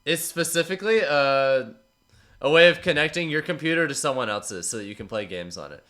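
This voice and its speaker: Stuffy-nosed man with a bright voice. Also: a little high, a little fast. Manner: relaxed, rambling, self-deprecating, reading out viewer messages. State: stuffy, slightly congested from a cold. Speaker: streamer analyzing tournament matches. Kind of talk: casual live-stream chat with viewers.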